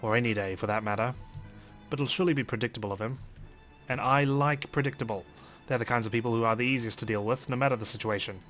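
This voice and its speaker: Brisk male narrator reading dramatically. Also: mid-range voice, fairly bright tone, faint music behind. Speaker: let's play narrator. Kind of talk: dramatic first-person visual novel reading.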